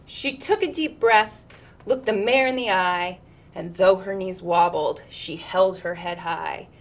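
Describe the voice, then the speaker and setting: A woman's voice: lowish voice, a little slow. A woman reading a children's picture book aloud, expressively, with chatty asides. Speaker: storytime reader.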